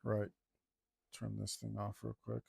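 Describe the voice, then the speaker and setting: A man talking casually to himself as he works on a digital drawing, mumbling in a relaxed way. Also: fairly low pitch, measured pace, vocal fry, breathy edge, dry recording. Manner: mumbling to himself, laid-back. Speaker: digital artist. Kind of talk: casual talk while drawing.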